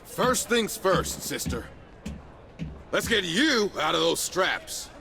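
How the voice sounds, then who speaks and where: An actor playing a roguish, friendly ex-soldier bartender in a video game, talking with folksy, affectionate banter. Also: male voice, sits high, sing-song, slow, creaky, sharp tone, soft background music, exaggerated voice. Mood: friendly, businesslike.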